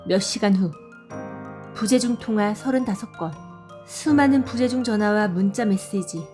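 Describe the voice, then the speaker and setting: A woman narrating a scripted audio drama and voicing the mother, with a soft, measured, sorrowful reading. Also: mid-range voice. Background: faint music.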